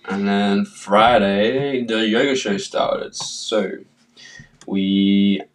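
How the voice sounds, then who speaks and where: Male podcast co-host, low voice, slightly off-mic, mild cold, easygoing rambling delivery. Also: drawn-out words, far from the mic. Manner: relaxed, rambling, guided breathing intro. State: has a cold, coughing. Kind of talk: casual podcast chat.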